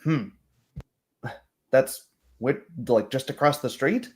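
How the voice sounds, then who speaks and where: A man's voice: mid-range voice, very animated, slow pace, voice pushed up. A man voicing a puzzled, drowsy woman barkeep who hesitates and thinks aloud in a tabletop roleplay. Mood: thoughtful.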